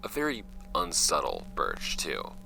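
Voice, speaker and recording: An actor playing a wry, offhand young man narrating his homemade podcast about his late uncle's files in an audio drama, with a gravelly voice. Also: huge pitch swings, sharp tone, soft background music, exaggerated voice, rough recording. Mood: measured, reflective. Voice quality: very deep, gravelly. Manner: wry, offhand, halting when ad-libbing, measured when reading.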